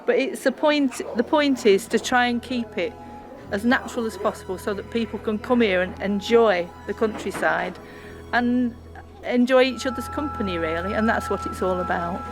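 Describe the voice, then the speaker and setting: A woman's voice: fairly high pitch, normal speed, music in the background. Woman explaining. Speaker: volunteer speaking for a countryside tea room. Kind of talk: informal interview account, explaining local history.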